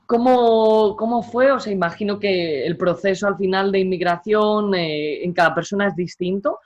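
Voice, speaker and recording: A woman's voice: medium pitch, normal speed, darkish tone, lo-fi sound. A woman hosting an interview, politely asking long, winding questions and thanking her guest. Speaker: interview host.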